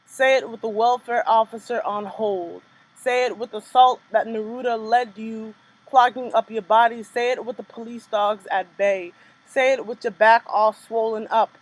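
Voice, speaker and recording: A young woman performing her own spoken word poems in a rhythmic, measured delivery, with casual chatty asides between pieces. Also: a little high, steady tone, low-quality recording. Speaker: poet performing her work. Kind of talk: spoken word poetry reading.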